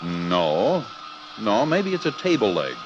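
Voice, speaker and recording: A man's voice: on the low side, wildly swooping pitch, slow, muffled recording, heavy background noise, full character voice. A man announcing a mid-century radio comedy as a folksy, jocular scene-setter with chatty, playful delivery, on an old radio transcription.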